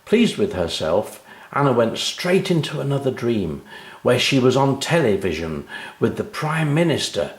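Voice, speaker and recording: A man reading his own children's story aloud in an animated storytelling style. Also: medium pitch, moderate pace, roomy sound.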